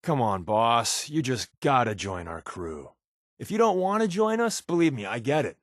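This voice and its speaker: A man's voice: medium pitch, very animated, moderate pace, no echo, clean studio sound. A man with an American accent playing a sly, wisecracking resistance spy in a video game, in a wry, sarcastic, conversational way. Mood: guarded, disappointed. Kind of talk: video game character dialogue.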